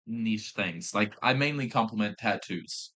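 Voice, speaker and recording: Laughing Southern guy, bright voice. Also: fairly low pitch, unhurried. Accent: American Southern. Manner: animated, swears often, laughs a lot, acts out quotes. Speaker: podcast co-host; fast-food worker. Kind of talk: casual chat, storytelling.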